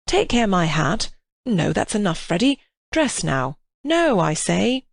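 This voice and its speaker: A woman's voice: medium pitch, sing-song, slow, vocal fry, no room sound, full character voice. A woman reading aloud, voicing a mild, proper middle-aged clergyman who fusses and protests as things get out of hand. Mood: flustered. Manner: fussy, protesting.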